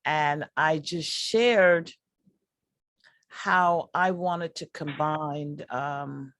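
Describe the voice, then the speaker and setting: A woman, a workshop teacher, speaking with hesitant pauses. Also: deep voice, fairly bright tone, no echo. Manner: hesitant, pausing. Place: online video call.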